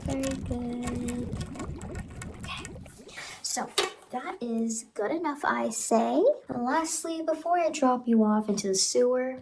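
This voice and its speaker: A high female voice with a crackly fry , a woman acting out a sinister, playful clown in horror ASMR. She murmurs softly and coaxingly, often in whispers. The recording has clear noise around it. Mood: calm, businesslike menace. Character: sinister playful immortal clown woman who harvests children's blood.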